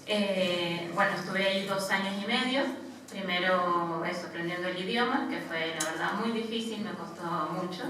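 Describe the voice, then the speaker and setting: An Argentine woman in her thirties, a missionary nun, gives an informal personal testimony in a halting manner, often adding small tag questions. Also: fairly low pitch, a bit bright. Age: 30s. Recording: echoey room, distant mic, faint noise.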